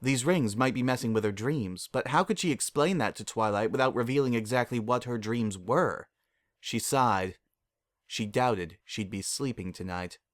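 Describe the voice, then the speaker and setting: A man's voice: medium pitch, normal speed, no room sound, studio quality. A man narrating a story as a dramatic reading.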